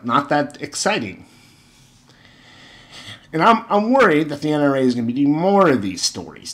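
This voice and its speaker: Male commentator, medium pitch, informal retelling and opinion, sarcastic emphasis, exasperated rhetorical questions. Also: fairly bright tone. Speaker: video commentator.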